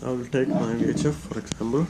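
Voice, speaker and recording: A man's voice: medium pitch, steady tone, quick pace, noisy background. A man presenting a step-by-step hands-on tutorial, often searching for his words as he explains.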